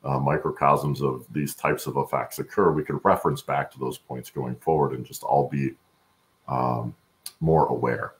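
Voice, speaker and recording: A middle-aged man with a gravelly voice, explaining things conversationally as an interview guest on a video call, thinking aloud as he goes. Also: extremely low pitch, steady tone, moderate pace, a little hiss or hum. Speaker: guest, supply chain expert. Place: home room, on a video call.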